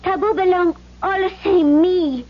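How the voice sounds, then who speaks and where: Dull vintage radio recording, young South Seas wife, slow, tender, broken pidgin English, radio drama. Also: female voice, extremely high pitch. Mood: resigned, earnest. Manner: speaks broken pidgin English in short phrases. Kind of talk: 1970s radio drama acting.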